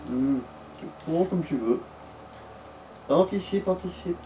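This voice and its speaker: A man's voice: fairly high pitch, slow pace, dark tone. A man casually bantering as a let's play co-commentator, joking and swearing informally. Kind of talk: casual gaming chat. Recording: distant mic with background noise.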